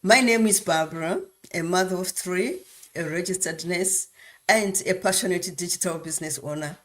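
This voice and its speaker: A woman speaking as a guest on a casual live-stream chat in a relaxed, matter-of-fact way. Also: fairly low pitch, normal speed. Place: cold home.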